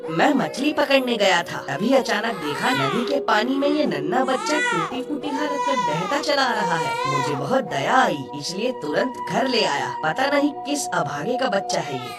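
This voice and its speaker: A woman voicing a cheerful, affectionate village fisherman in an expressive, coaxing way for a Hindi cartoon story. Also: a little high, moderate pace, few pauses. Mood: alarmed, pitying. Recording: slightly distant, clear background music.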